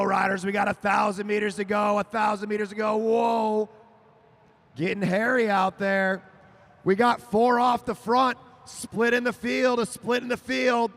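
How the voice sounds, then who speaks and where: A man calling a track cycling race live, rising to shouts as the sprint builds. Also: a little high, gravelly. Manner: excited, shouting names over the finish. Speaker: race announcer. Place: velodrome over a PA.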